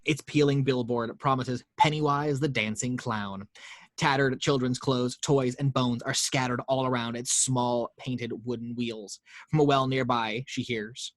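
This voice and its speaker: A man reads horror stage directions at a mid pitch, in a steady storytelling way with the odd stumble. His voice is dry and close, with no room sound. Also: a little slow, no room sound. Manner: steady storytelling read with small slips. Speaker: narrator reading action lines. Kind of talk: table read narration.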